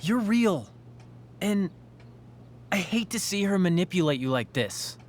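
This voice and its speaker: A man's voice: high-pitched, sing-song, unhurried, a little hiss or hum. A man playing an anxious, accusing teenage boy in a dramatic video game, pressing his points with pleading emphasis. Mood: accusing, insistent.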